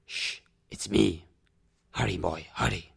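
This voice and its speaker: A man playing a gruff, solemn older recluse with a terse, grave manner in an amateur audio drama. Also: sits low, slow pace, full character voice. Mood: reassuring.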